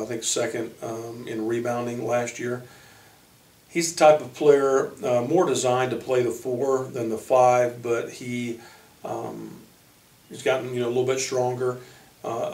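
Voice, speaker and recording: A man who coaches college basketball, giving informal player profiles in a halting way, pausing mid-sentence. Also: mid-range voice, a little mellow. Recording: roomy echo, distant microphone.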